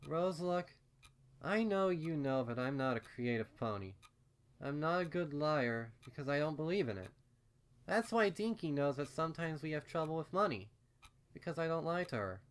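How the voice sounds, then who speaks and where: A man voicing an earnest, anxious female pony in a storybook reading, with halting, stammering, insistent delivery. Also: a little high, animated, smooth, natural voice. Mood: defensive, earnest. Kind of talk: acted storybook dialogue in a fan fiction reading.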